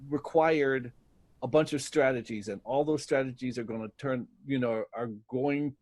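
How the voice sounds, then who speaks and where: A man's voice: medium pitch, moderate pace, a little mellow, dry recording. A man being interviewed as a guest, reflecting thoughtfully and often searching for his words. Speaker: interview guest, voice actor in an audio drama.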